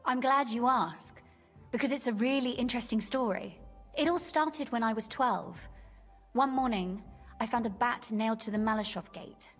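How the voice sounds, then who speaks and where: A woman's voice: on the high side, moderate pace, big-room sound, soft background music. An actress playing a shrewd, teasing young medieval noblewoman in a video game, speaking in articulate, formal phrases. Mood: storytelling. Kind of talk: scripted game dialogue.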